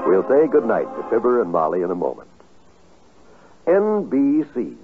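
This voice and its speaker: A man's voice: medium pitch, huge pitch swings, moderate pace, soft background music. An American man making a stately, formal, scripted network announcement in 1950s radio style. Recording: muffled vintage 1950s radio recording.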